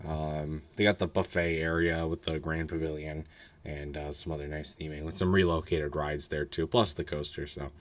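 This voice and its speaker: Hoarse low-voiced man rambling casually. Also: little pitch movement, normal speed. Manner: rambling, filler-heavy, candid. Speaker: roller coaster reviewer. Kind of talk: casual solo review.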